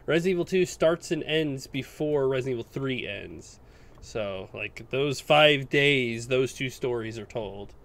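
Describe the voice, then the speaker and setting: A man's voice: mid-range voice, expressive, measured pace, gravelly, a bit bright. Insistent man talking games casually. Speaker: podcast co-host. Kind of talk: casual video game chat.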